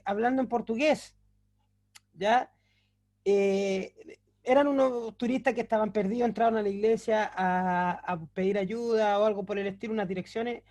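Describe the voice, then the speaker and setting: A man's voice: extremely high pitch, darkish tone. A man teaching a Bible class, explaining things patiently with frequent fillers and self-corrections, and asking the listeners rhetorical questions to check they follow. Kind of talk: recorded class lecture.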